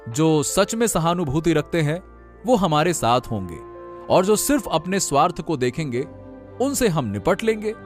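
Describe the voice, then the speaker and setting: A man plays a determined village leader in a cartoon story, speaking high and with a very lively, swinging pitch. His delivery is earnest and rousing, and faint music plays beneath. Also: moderate pace.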